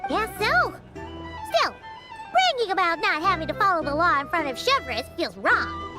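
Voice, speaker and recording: A woman voicing a tiny, cheerful, childlike fairy companion in a video game, squeaky, excitable and cheeky. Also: a little slow, exaggerated voice. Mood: chatty, cheeky. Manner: excitable, refers to self by name. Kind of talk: video game character acting. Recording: loud game music behind.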